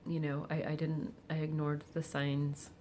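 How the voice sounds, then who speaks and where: A woman of forty speaking candidly and reflectively in a personal vlog, with soft laughs and hesitant fillers. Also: deep voice, completely flat delivery, unhurried, a little hiss or hum. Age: 40s. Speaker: vlogger. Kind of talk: personal confessional monologue.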